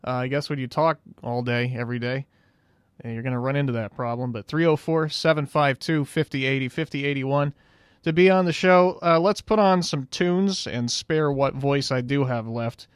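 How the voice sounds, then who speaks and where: A man's voice: medium pitch, normal speed, clean tone, no room sound, studio quality. A man hosting a call-in radio show in a casual, rambling way, his voice tired and fading. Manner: easygoing and rambling, with frequent "uh"s.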